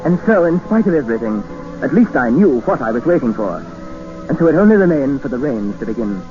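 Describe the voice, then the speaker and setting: Casting: man playing formal, earnest captive in vintage radio drama, medium pitch, dull recording with music. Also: big pitch swings, fairly quick, close to an everyday voice. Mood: measured narrating. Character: trapped Englishman, educated, increasingly desperate captive narrator. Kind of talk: 1950s radio drama acting.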